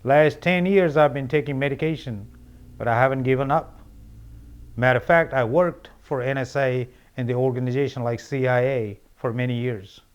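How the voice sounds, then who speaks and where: A middle-aged man from Nepal with a Nepali accent, giving earnest, rambling interview answers as a political candidate, with many fillers and restarts. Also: medium pitch. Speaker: congressional candidate.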